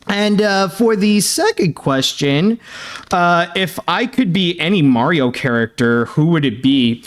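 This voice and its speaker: Young male host, slightly high voice, chatty and halting with lots of fillers, earnest fan-mail reader. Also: lively intonation. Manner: halting, filler-heavy, many "uh" and "like"; earnest, thanking and sympathetic. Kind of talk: casual chat reading fan mail.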